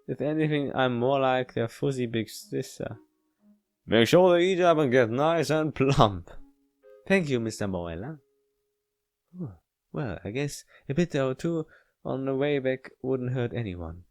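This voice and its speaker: A man's voice: medium pitch, animated, no echo, soft background music. A man doing a casual read-aloud of a visual novel, often stumbling and going back over lines. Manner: halting, stumbling and rereading lines. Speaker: let's player reading a visual novel aloud.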